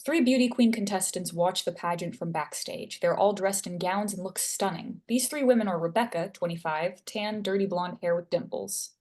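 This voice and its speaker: A woman's voice: fairly low pitch, normal speed, few pauses, darkish tone. A woman reading stage directions as scripted narration.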